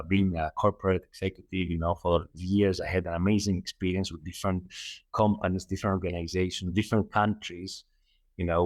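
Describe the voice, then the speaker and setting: Low-voiced Greek-accented man, earnest. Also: no echo. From Greece. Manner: earnest, repeats phrases, many fillers. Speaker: guest, holistic coach. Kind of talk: interview answers.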